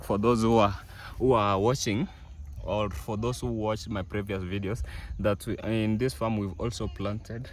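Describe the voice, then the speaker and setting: A man's voice: lowish voice, slight background noise. Chatty man filming outdoors. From East Africa. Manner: chatty, many fillers and restarts, addresses viewers as guys. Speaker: village vlogger. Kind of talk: casual walk-through vlog. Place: outdoors on a farm field.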